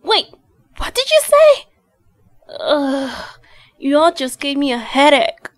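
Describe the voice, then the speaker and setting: Bewildered, feisty young woman played by a woman, audio drama: high, unhurried, slangy and exclaiming. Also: very animated, no room sound, heavily acted. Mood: irritated. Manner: slangy, exclaiming, peppers talk with Japanese words. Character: confused, irritated, outspoken young woman, comically bewildered.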